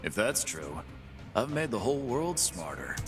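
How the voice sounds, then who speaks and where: A man's voice: mid-range voice, big pitch swings, normal speed, over music, close to an everyday voice. A man voicing a swaggering pit-fighter boss. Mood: cocky taunting. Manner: taunting, streetwise trash talk. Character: cocky brawling male pit boss, tough and swaggering. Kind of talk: game character voice lines.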